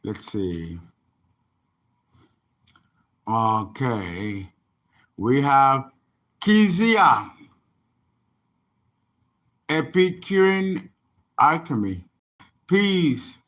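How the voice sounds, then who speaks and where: A man's voice: mid-range voice, expressive, drawn-out words, long pauses, fairly bright tone. A man, an astrologer and tarot reader, chatting casually on a livestream and rambling as he reads viewer comments aloud.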